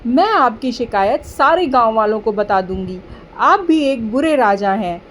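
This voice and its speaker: A woman voicing a small, caring mother bird in an animated story, earnest and plaintive, with emphatic pleading. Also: a little high, a little slow, slight background noise. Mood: indignant.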